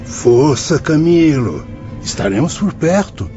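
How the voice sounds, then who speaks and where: An actor playing a kindly priest and mentor who speaks in a consoling, paternal way in a radio drama. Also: male voice, a little high, sing-song, slow pace. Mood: encouraging. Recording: dull lo-fi audio under music.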